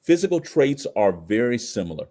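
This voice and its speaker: A man's voice: medium pitch, very animated, normal speed, dark tone. An American man giving opinionated commentary in conversation as a podcast guest, emphatic and slangy, often posing rhetorical questions.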